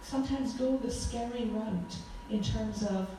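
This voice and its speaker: A woman in her forties with a loud voice, giving a fervent talk as a guest speaker at a church, with frequent ums. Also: a little high, a little slow, background noise. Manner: earnest, fervent, with many ums. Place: church hall. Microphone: handheld microphone. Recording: echoey hall from far off.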